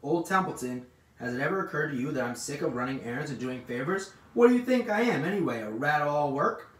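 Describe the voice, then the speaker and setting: A man's voice: mid-range voice, quick pace, a bit bright, lightly acted. A man voicing a lazy, self-pitying storybook rat, growling, sarcastic and full of mocking complaint. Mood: indignant boasting. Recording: roomy, distant mic with faint noise.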